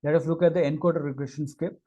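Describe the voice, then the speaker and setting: Mellow-voiced man presenting a technical demo. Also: a little high, fairly even intonation, fast, dull audio. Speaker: engineer presenting a product demo. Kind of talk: unscripted technical demo narration.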